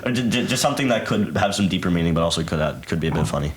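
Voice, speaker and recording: A man's voice: deep voice, lively intonation, racing. A man co-hosting a casual group podcast chat, rambling and stumbling over his words. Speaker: podcast co-host.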